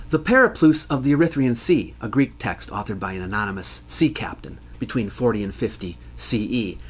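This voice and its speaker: A man with a mid-pitched voice delivers scripted educational narration. His delivery is measured and scholarly, like a host teaching history to viewers. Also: a bit bright, slightly rough.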